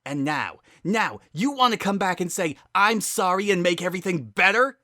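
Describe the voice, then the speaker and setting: A bitter, furious female pegasus pony from a dramatic story reading, acted out by a man. The voice is pushed well above a natural male pitch and is bright and forceful. She hurls accusations with wide, animated swings. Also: normal speed, no echo, clean studio sound. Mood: furious screaming. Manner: accusing, forceful, loud outbursts.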